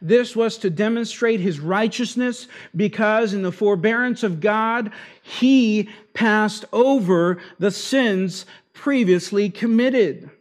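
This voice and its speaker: A man with Argentine roots preaching an expository sermon, slowing down to stress key words. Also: high-pitched. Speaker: pastor.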